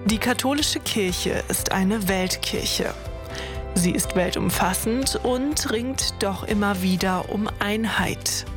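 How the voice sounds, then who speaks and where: A woman's voice: mid-range voice, slow, over music. A woman reading scripted documentary narration as the presenter.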